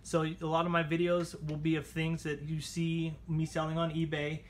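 A man's voice: a little high, monotone, normal speed, a little hiss or hum. A man hosting a casual repair tutorial, chatting informally as he talks viewers through the steps. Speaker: how-to channel host. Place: indoors at a table at home.

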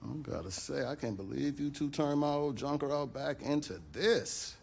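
An actor with an American accent playing a gruff but fond middle-aged garage owner in a video game, wry and laid-back. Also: male voice, a little high, moderate pace, a little mellow, slight background noise. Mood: wry, relaxed. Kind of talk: naturalistic video game dialogue.

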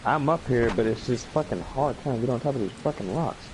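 A man's voice: medium pitch, lively intonation, quick pace, a little mellow, a little hiss or hum, rough recording. A man hosting a game stream, swearing and griping casually as he plays. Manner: profane, easily frustrated. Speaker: streamer host. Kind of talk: casual gaming chat. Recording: rain game sound behind voice.

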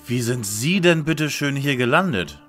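A man's voice: mid-range voice, expressive, a little slow, fairly bright tone, soft background music. A man playing a sober, formal young lead detective in a parody radio play.

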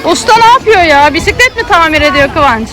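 A high-voiced woman with an Istanbul Turkish accent is vlogging outdoors. She chats casually, switching between addressing a child and her viewers, with a busy crowd audible around her. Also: lively intonation, brisk, poor audio. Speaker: vlogger parent filming a child. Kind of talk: casual vlog commentary. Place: outdoor children's theme park. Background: crowd chatter.

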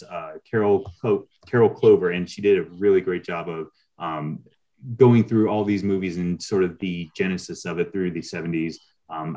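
A middle-aged man speaking as an interview guest on a video call, explaining things in a measured, conversational way. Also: deep voice, a little mellow, muffled recording.

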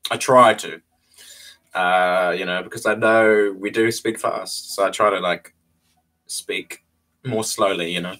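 A man's voice: sits low, fairly even intonation, a little slow, sharp tone, low-quality recording. A young man from New Zealand with a New Zealand accent, chatting casually on a livestream from a small bare room into a webcam, laid-back, chuckling and repeating his phrases. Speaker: vlogger hosting a livestream. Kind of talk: casual livestream chat answering viewers. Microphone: webcam at desk distance.

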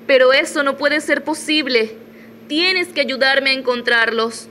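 Woman playing a haughty wronged mother, radio soap, melodramatic, slow delivery, faint background noise. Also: high voice, bright, forceful tone. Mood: desperate, pleading. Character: imperious, desperate mother confronting her former lover. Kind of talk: radio soap opera acting.

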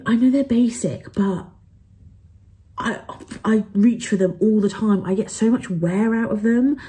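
A woman's voice: on the low side, warm tone. A young woman chatting casually to camera as a vlogger, upbeat and full of fillers. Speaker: travel and beauty vlogger. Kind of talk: casual haul chat to camera.

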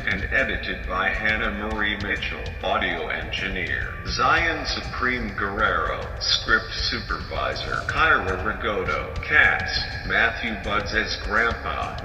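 Distant, echoing male credits announcer. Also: on the low side. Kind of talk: scripted credits read. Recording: echoey hall, distant, over music.

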